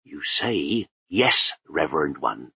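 An actor playing a stern old male sweeper-monk, gruff, clipped and commanding. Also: extremely low pitch, big pitch swings, slow pace, pushed and bright, no echo, lo-fi sound, pitched below the performer's own voice, exaggerated voice.

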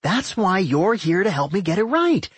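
A gleeful tentacled dungeon monster, pitched high and swooping wildly. The creature is excitable and manic, exclaiming everything with eager encouragement in a fantasy storybook. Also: male voice, measured pace, slightly rough, dry recording, full character voice. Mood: gleeful, excited.

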